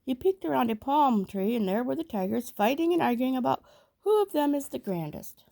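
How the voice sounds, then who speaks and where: A woman reads a children's story aloud, measured and expressive. Her voice sits a little high and has a creaky edge. The recording is dry, with very little room sound. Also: no room sound. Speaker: storybook reader.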